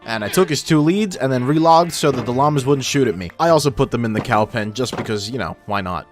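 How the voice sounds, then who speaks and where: A man's voice: mid-range voice, animated, quick pace. Casual male gaming narrator, filtered voice. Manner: informal, wry joking asides. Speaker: gaming video host. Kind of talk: casual scripted gameplay narration. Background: background music.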